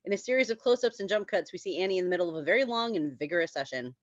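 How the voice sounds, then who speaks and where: Lowish-voiced woman reading stage directions. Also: lively intonation, racing, no echo, highs cut off. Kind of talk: reading screenplay narration at a table read.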